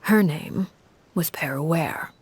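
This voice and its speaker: An actress playing a composed, grave woman recounting a dark past in measured, soft video game dialogue. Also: sits low, slight background noise. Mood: reflective, restrained. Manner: measured, grave, deliberate pauses. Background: soft game music.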